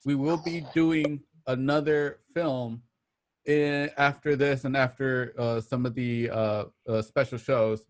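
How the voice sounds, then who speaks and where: A man's voice: mid-range voice, fairly even intonation, slow, slight background noise. Casual male radio show host. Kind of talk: casual sign-off announcements to listeners.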